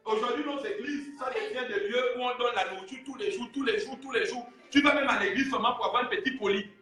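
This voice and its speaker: High, bright-voiced Gabonese preacher. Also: male voice, quick pace, soft background music. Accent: Gabonese French. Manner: fiery preaching, rising to shouts, urging the congregation. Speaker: pastor. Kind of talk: sermon. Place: church hall with a congregation. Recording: very echoey hall recorded from a distance.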